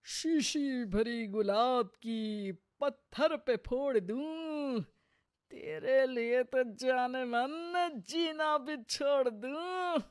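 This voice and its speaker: Woman acting a drawling, lecherous older male neighbour. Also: high-pitched. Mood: leering. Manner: leering, drawn-out filmi flirting and cackling. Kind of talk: cartoon moral story acting.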